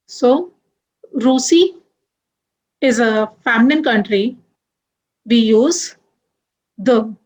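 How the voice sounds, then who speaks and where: A young woman teaching French grammar, moving deliberately through examples and spelling out letters one by one. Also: a little high, poor audio. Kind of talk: grammar lesson.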